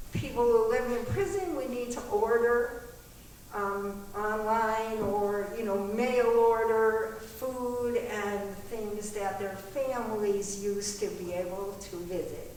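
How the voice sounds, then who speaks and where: A woman's voice: mid-range voice, darkish tone. A woman speaking informally as a panelist, candid and reflective in her delivery. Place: echoey meetinghouse hall. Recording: echoey hall, distant mic, faint noise.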